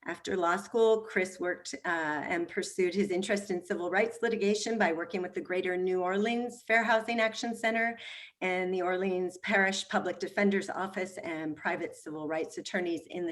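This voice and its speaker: A woman hosting an online panel on a video call, reading out introductions of the speakers. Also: lowish voice. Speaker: host and moderator.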